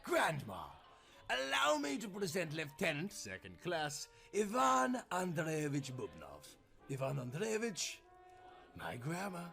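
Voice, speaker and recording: A man's voice: a little high, huge pitch swings, takes it slowly, vocal fry, sharp tone, faint music behind, voice pushed up, heavily acted. A man voicing a courteous but easily ruffled devil in a storybook reading, with formal, old-fashioned phrasing. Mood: courteous, showy.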